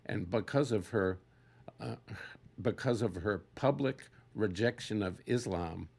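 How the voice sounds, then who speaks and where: An older man giving an unscripted, reflective personal talk in a halting way, searching for words as he goes. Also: lowish voice, a little mellow.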